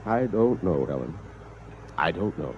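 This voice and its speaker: A male actor playing a grave, earnest professor in a 1950s radio drama, intense and deliberate in delivery. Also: deep voice, very animated, a little mellow. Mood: subdued, brooding. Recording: muffled, hissy 1950s radio broadcast.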